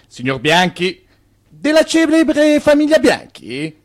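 A man's voice: very high-pitched, huge pitch swings, slow, exaggerated voice. A man playing a busy, wry immigrant banker in a radio play, explaining things with sly confidence. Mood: wry, confident.

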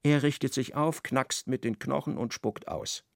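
A man narrating a literary radio drama in a measured, expressive storytelling manner. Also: medium pitch, vocal fry, no room sound, clean studio sound.

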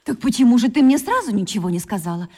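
Cast: a strict, worried mom in a radio play, slightly high and insistent. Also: female voice, big pitch swings, quick pace, a little mellow, breathy edge. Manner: insistent, anxious. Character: worried, strict mother questioning her daughter.

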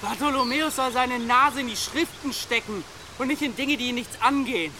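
An actor playing a grumbling, flippant young novice monk from the coast in a medieval radio play. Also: male voice, extremely high pitch, moderate pace. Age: young adult. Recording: clearly noisy outdoor ambience.

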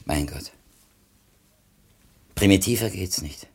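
A man's voice: sits low, slow, darkish tone, husky, full character voice. An actor playing a reflective, educated publishing editor in a 1970s East German radio play, searching for words as he talks. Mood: appalled, concerned. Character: thoughtful, educated male publishing editor, curious and uneasy.

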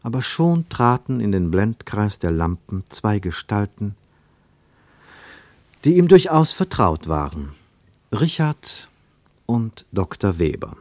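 A man narrates a literary radio drama in a low voice. He takes his time and sets out short phrases dramatically. The recording is dry, with almost no room sound. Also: big pitch swings, slow pace, long pauses, a little mellow, no echo.

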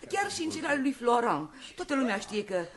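A woman's voice: on the high side, sing-song. A woman playing a haughty, cutting stage actress in a radio comedy, with a dry, sardonic, theatrical manner. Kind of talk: Romanian radio comedy acting.

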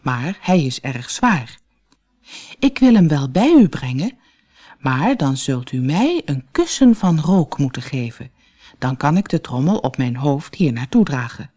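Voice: mid-range voice, very animated, a little slow, warm tone. A storyteller voicing a brave, clever young man in a fairy tale, who speaks politely and with composed reasoning. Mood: polite, confident. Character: brave, clever, courteous young male suitor.

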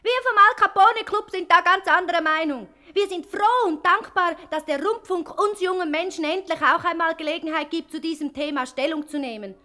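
A woman's voice: extremely high pitch, moderate pace, few pauses, pushed and bright. An actress playing a prim, fervent young fan club girl giving a solemn, indignant speech in a satirical radio play.

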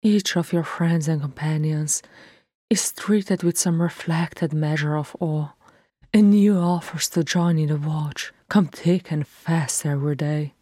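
A woman's voice: low voice, a little slow, mellow, no room sound. A woman voicing a firm, loving dwarf queen speaking to her grown son in a fantasy story, with a stately manner. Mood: fond, earnest. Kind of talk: fantasy audiobook character voice.

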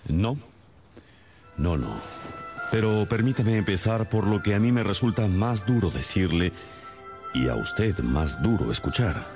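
A man acting in a radio drama as a confident, methodical gentleman detective with a measured, courteous, authoritative manner. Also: deep voice, animated, music in the background. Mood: grave, firm. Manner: measured, authoritative, polite questioning.

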